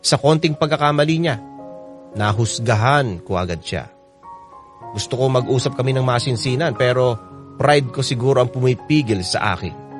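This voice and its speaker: A man's voice: mid-range voice, lively intonation, normal speed, close to an everyday voice. A man with a Filipino accent as a reflective narrator, reading a troubled letter writer's story in a radio drama. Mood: reflective, regretful. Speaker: letter reader. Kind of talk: first-person dramatic narration of a listener's letter. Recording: studio narration with music underneath.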